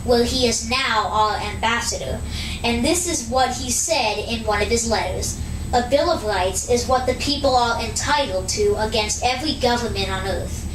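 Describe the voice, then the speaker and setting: A woman's voice: fairly high pitch, moderate pace, lightly acted. Distant, echoey woman as earnest statesman. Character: James Madison, earnest male American statesman. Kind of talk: scripted puppet show acting. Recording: distant roomy mic, clear background noise.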